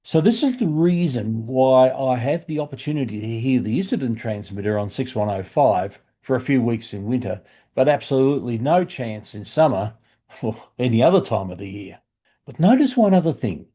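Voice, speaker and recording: An Australian man narrating a hobby explainer video in a measured, instructive way, addressing the listener in a friendly manner. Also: medium pitch, expressive, warm tone, husky. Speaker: shortwave radio hobbyist presenter.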